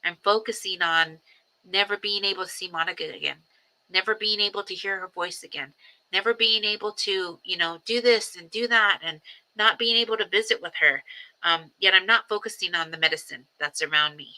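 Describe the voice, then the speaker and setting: Bright-voiced woman in her forties, rambling earnestly. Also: mid-range voice, a little fast, poor audio. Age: 40s. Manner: rambling, many ums and restarts, earnest. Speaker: livestream host. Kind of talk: casual reflective livestream talk.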